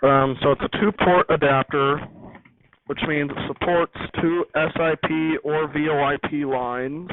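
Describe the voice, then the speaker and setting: A man's voice: fairly high pitch, fairly even intonation, measured pace. A man giving an unscripted technical walkthrough as a hobbyist host, rambling and correcting himself as he explains. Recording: noisy, tinny phone-like audio.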